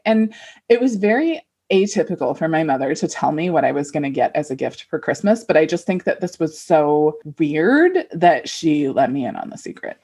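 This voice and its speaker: A woman's voice: sits low, very animated, moderate pace, warm tone, breathy edge. A woman in her forties co-hosting a podcast, telling stories in a casual, conversational way. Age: 40s. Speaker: podcast co-host. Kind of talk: casual chat with a friend, some scripted intro.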